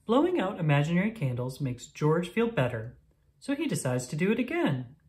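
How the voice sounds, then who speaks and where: A woman with a low-pitched voice narrates a children's picture book. Her intonation swings widely from phrase to phrase, and she delivers each line deliberately and expressively for young listeners. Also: huge pitch swings, darkish tone. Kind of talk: scripted read-aloud narration of a picture book.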